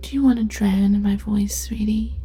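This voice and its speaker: Soft whispering woman, mellow hypnotic tone. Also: mid-range voice, steady tone. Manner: slow, soft, partly whispered, commanding. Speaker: hypnosis roleplay narrator. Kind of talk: ASMR hypnosis roleplay.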